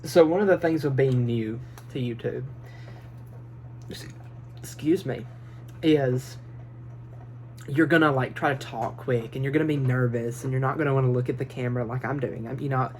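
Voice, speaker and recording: A man's voice: mid-range voice, darkish tone, slight background noise. Nervous, rambling young-sounding man vlogging. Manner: nervous, fast, rambling with fillers. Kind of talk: casual advice vlog. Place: small bedroom. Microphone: phone built-in mic, no microphone.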